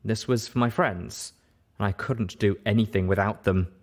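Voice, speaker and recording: A man narrating a story in an expressive audiobook style. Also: fairly low pitch, normal speed.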